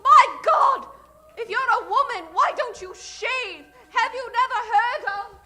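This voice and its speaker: A woman's voice: very high voice, expressive, measured pace, pushed and bright, exaggerated voice. A woman playing a dramatic, exclaiming aspiring starlet in improvised old-time radio comedy. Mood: shocked. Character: melodramatic aspiring starlet turned peppy radio pitchwoman.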